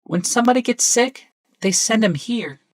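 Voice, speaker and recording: Shrill, solemn little boy. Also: lively intonation, normal speed, no echo. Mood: matter-of-fact. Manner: solemn, earnest, simple. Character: small determined boy, frightened but solemn.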